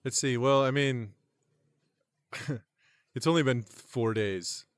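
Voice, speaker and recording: A man co-hosting a comedy podcast who teases his co-host with dry, deadpan corrections. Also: mid-range voice, slow, smooth. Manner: wry, teasing, deadpan corrections. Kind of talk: casual comedic chat.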